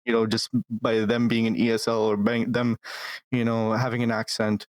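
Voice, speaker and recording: A man's voice: mid-range voice, completely flat delivery, moderate pace, no room sound. A man in his twenties, born in Pakistan, talking earnestly as a guest in a casual interview and often repeating words to stress a point. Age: 20s. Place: Calgary, Canada.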